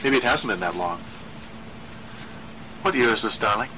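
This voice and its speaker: A male narrator voices an eerily gentle, lovesick man from a horror story. He pleads in soft, halting phrases with a very bright edge, over some background noise. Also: lowish voice, expressive, poor audio. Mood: lovesick, dreamy. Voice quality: soft, sighing. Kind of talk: audio horror story reading.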